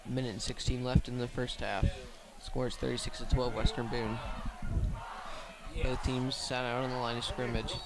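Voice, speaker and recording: Male sports commentator, level and unexcitable pitch, calling a live football game on radio from the field. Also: flat delivery, moderate pace, heavy background noise. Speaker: play-by-play commentator. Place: outdoors at a high school football field.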